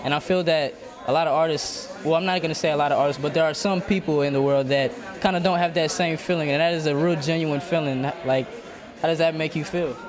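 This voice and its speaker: A young man interviewing artists at an outdoor art event, asking friendly, halting questions. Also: fairly high pitch, steady tone. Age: young adult. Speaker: student interviewer. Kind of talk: casual on-the-spot interview. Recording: crowd chatter and music behind.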